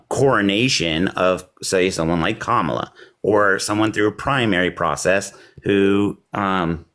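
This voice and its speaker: Combative rambling man, low voice. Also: fairly bright tone. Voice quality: slightly hoarse. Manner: combative, rambling, many fillers. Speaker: debate caller. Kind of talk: heated political argument.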